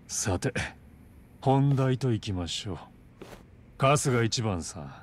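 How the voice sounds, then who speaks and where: A man acting a composed crime boss in a Japanese game dub, courteous and formal in measured, condescending phrases. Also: mid-range voice, close to an everyday voice. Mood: businesslike, probing.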